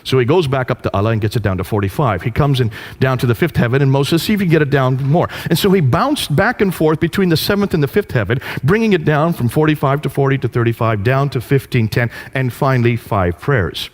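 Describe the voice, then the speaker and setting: Male lecturer, average pitch, engaging storyteller who quizzes the audience. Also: fast, hardly any pauses. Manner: animated storytelling with rhetorical questions to the audience.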